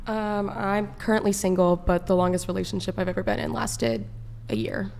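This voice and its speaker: A woman's voice: lowish voice, lively intonation, normal speed, gravelly, darkish tone, a little hiss or hum. An eighteen-year-old woman from the San Francisco Bay Area, chatting casually as a panel guest. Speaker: panel guest, college freshman. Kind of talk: casual chat.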